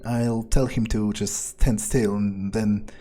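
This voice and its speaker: A man role-playing a ruthless, casually murderous vampire thinking aloud in improvised game commentary, musing, hesitant and foul-mouthed, with dark amusement. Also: fairly low pitch, steady tone, takes it slowly, slight background noise. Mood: musing, darkly amused. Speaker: gaming streamer role-playing his character. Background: game music.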